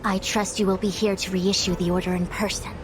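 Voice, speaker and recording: A woman's voice: medium pitch, flat delivery, a little fast, noisy background, close to an everyday voice. A woman playing a dignified diviner and deputy in a game cutscene, formal and composed. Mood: earnest, loyal. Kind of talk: video game cutscene acting.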